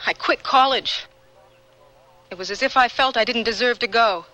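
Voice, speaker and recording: Young woman in a radio drama, bright clear-cut voice, earnest, guilt-laden and halting. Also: on the high side, low-quality recording. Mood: guilt-ridden. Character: troubled young woman confessing a guilty past.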